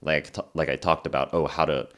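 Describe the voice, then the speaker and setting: A young man with an extremely deep voice, lower than usual because it is morning, talks through a casual podcast episode for English learners. He rambles easily, filling gaps with "uh" and "like" and correcting himself as he goes. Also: fairly quick. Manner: relaxed, rambling, many fillers and self-corrections. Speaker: podcast host.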